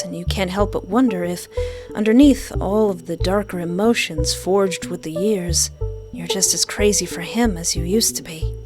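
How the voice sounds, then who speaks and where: Woman reading a story game over music. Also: on the low side. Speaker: game player reading and reacting. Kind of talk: expressive read-aloud narration with casual reactions. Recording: music behind the voice.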